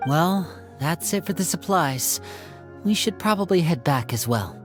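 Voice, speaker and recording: A woman voicing a calm, gracious older-sister type host with a gentle, polite manner in a video game. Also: low voice. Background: background music.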